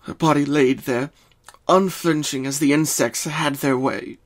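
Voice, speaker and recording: A man's voice: a little high, steady tone, unhurried. Man narrating a dramatic story.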